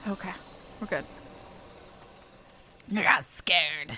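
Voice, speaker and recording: A woman's voice: on the low side, takes it slowly, sharp tone, no echo. A woman giving casual gameplay commentary as a streamer. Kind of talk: casual gameplay commentary, reading dialogue aloud. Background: game music.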